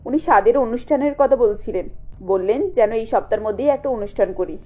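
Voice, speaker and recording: A woman's voice: a little high, fairly quick, mellow, lo-fi sound, soft background music, voice pushed down, natural voice. Woman acting a meek, worried cartoon mother. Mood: placating. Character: self-sacrificing, worried middle-aged mother of poor household.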